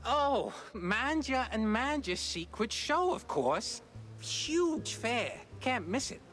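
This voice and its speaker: An actor playing an eager, chatty informant sidekick in a noir cartoon game, hesitant but excitable. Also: male voice, very high voice, slow pace, faint music behind, heavily acted.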